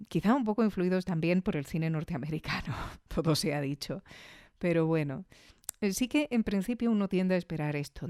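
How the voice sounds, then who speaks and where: A woman from Spain with a lowish, mellow voice presents a podcast review. She talks conversationally, dropping in chatty asides and checking in with the listener. The recording is dry and close, with no room sound. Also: no echo. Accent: Spanish from Spain. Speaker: podcast host. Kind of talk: casual scripted podcast review.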